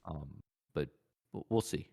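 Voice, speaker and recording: Casting: male podcast co-host, low-pitched voice, blunt and informal, comfortable cursing in loose gaming chat. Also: lively intonation, slow, vocal fry, mellow, dry recording. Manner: blunt, casual swearing.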